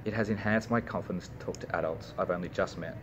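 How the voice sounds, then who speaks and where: A man's voice: sits low, quick pace, lo-fi sound, background noise. A young Australian man, a university student, reading a prepared personal speech with small slips and restarts. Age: young adult.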